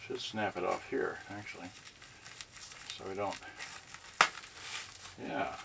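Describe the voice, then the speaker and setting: A man giving casual, halting unboxing commentary, muttering mildly grumbling asides to viewers. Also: deep voice, fairly even intonation, vocal fry. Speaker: unboxing vlogger. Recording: noisy recording with rustling packaging. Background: clicks and crinkling packaging.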